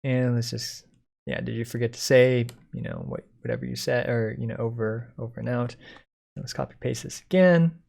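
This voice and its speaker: A man giving a casual software code-along, thinking aloud informally as he types. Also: medium pitch, steady tone, moderate pace, gravelly. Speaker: software tutorial instructor.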